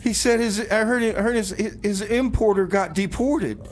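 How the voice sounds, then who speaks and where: A man's voice: high-pitched, normal speed. A man hosting a community radio music show in a casual, informal way, with bits of comic banter. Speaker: radio host. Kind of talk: music show presenting with improvised comedy banter.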